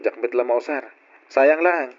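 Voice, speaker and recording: An actor playing a patient, burdened grown son who cares for his ailing parents, speaking gently and earnestly in an old-time radio drama style. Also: medium pitch, a little slow, lightly acted. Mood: earnest, resigned. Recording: dull lo-fi radio drama recording.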